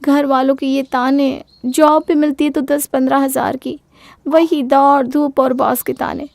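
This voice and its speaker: Actor doing a very high, creaky, whining college girl. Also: little pitch movement, unhurried, a little mellow, no room sound. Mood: troubled, weary. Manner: whining, complaining. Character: distressed, self-pitying young female college student.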